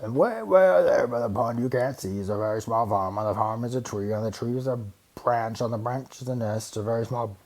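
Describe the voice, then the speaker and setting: A big, gruff storybook bear, acted out by a man reading aloud. He growls and brags with stubborn pride. Every challenge gets a snort or a sneer. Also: medium pitch, brisk, a little mellow, natural voice. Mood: boastful. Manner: bragging, snorting, grunting. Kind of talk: children's storybook character voice.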